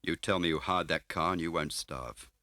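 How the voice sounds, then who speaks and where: A man voicing a gruff, blunt, streetwise male detective with a terse, menacing manner in an audiobook. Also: extremely low pitch, moderate pace, pushed and bright, no room sound. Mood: blunt, pressing. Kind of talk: audiobook character voice.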